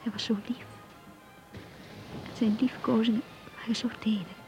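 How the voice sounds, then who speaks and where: An actress playing a shy, anxious young woman in love, reading her diary in a radio drama in an intimate, hesitant, breathy voice. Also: fairly high pitch, steady tone, normal speed, mellow, muffled recording, faint music behind, lightly acted. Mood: tender, yearning. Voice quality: husky, breathy, half-whispered.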